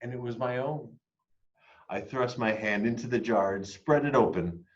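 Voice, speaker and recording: A man reading a children's picture book aloud expressively, moving between hushed and emphatic delivery. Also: medium pitch, moderate pace, highs cut off. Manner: dramatic, hushed and emphatic by turns. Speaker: read-aloud storyteller.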